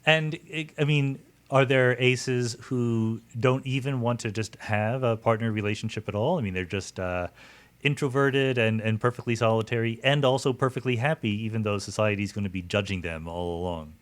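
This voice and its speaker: A man's voice: medium pitch, fairly even intonation, normal speed. A man hosting a conversational interview, thinking aloud and rephrasing his questions as he goes. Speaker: podcast host, interviewer.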